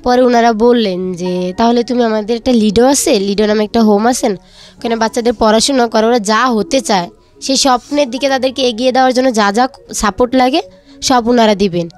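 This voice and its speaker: A woman's voice: a little high, a little fast, faint music behind. A young woman with a Bangladeshi accent telling her personal life story as an interview guest, a student. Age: young adult.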